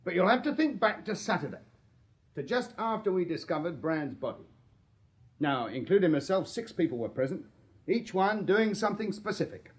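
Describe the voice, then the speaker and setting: An actor playing a shrewd Victorian police sergeant in a British radio drama, English-accented, methodical and formally polite. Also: male voice, high voice, sing-song, moderate pace. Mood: pressing, accusing.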